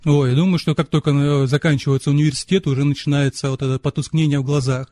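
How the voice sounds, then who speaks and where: A man's voice: a little high, little pitch movement, fast talker, mellow. A man appearing as a podcast guest, speaking in a friendly, expansive way and telling stories at length. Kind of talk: casual podcast conversation. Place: remote call from Moscow.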